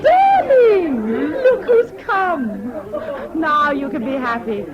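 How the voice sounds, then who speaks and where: An actress playing a possessive, effusive society hostess in a radio play, bossy and emphatic. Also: female voice, high-pitched, very animated, takes it slowly, a loud crowd, heavily acted. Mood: gushing. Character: gushing, possessive, rich English society hostess. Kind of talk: 1970s British radio drama acting. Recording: dull old radio recording, busy background noise.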